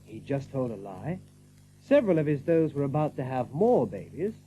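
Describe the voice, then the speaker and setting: A man narrating a story in an expressive, deliberate, dramatic way. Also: mid-range voice, soft background music. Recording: tinny narrow-band audio with hum.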